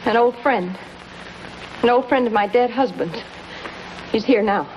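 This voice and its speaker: A woman's voice: fairly high pitch, measured pace, lightly acted. A woman playing a despairing, bitter widow in a vintage television noir drama, speaking in a clipped, resigned way. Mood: exhausted, lost. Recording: muffled old TV soundtrack, hum and noise.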